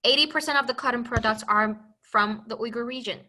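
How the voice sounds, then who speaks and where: A young woman from China talks as a podcast guest, earnest and open. Her voice is bright and forceful, and she often breaks off and restarts a thought. It is casual interview talk, not a rehearsed speech. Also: fairly high pitch, brisk.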